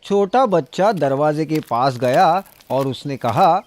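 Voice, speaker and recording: Slow, animated male story narrator. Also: on the high side. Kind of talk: scripted children's story narration.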